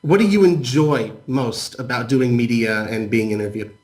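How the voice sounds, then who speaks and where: A man's voice: mid-range voice, normal speed, darkish tone. A man interviewing a guest, asking questions in a hesitant way with many fillers.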